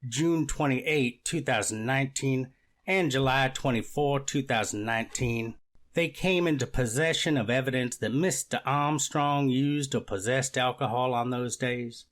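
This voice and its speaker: Guy playing a prosecutor in a court reenactment, dry formal reciting, medium pitch, methodical. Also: unhurried, fairly bright tone, lightly acted. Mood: formal, factual. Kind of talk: readers theater courtroom reenactment.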